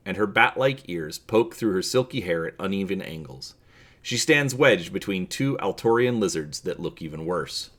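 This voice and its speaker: Guy doing dramatic storybook narration, kinda deep, really lively up and down delivery. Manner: expressive, theatrical reading with occasional stumbles. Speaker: reader and channel host.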